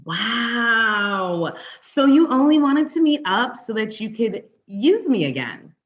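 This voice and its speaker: A woman's voice: on the high side, very animated, slow pace, dull audio. A woman playing a confrontational, wounded ex-girlfriend in a conversational table read, pointed and sarcastic. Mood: sarcastic, hurt. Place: online live stream table read.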